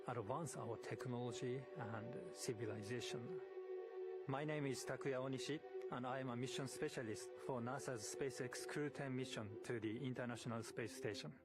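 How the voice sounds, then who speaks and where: A middle-aged man from Japan speaking English, an astronaut giving a measured, earnest profile statement. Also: medium pitch. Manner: measured, earnest, with slow stretched phrases. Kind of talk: prepared personal profile statement. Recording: loud music bed under voice. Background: loud music.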